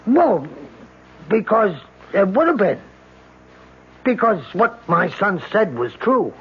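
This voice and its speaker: A desperate old father in a 1970s radio drama. His voice is very husky and rather high, slow and pleading. The old broadcast sound is muffled. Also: very animated, full character voice. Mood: insistent. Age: older. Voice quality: husky, gravelly. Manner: halting, pleading.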